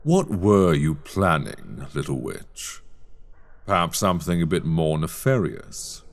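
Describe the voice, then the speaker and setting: Man acting a deep, slow, menacing sorcerer professor. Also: big pitch swings, exaggerated voice. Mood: menacing, teasing. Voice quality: low, creaky. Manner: deliberate, condescending, silky, teasing, formal diction. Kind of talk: slow dark fantasy ASMR roleplay acting. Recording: long cavernous reverb.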